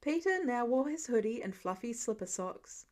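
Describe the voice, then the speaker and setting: A woman's voice: fairly high pitch, animated, normal speed, a little mellow. A woman reading a scripted story aloud as the narrator of an audio fiction recording. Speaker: podfic narrator.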